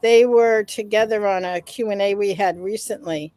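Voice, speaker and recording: A woman's voice: medium pitch, steady tone, normal speed, a little mellow, no room sound. A woman co-hosting a casual online panel, enthusiastic as she reads out viewer comments. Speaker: webinar co-host.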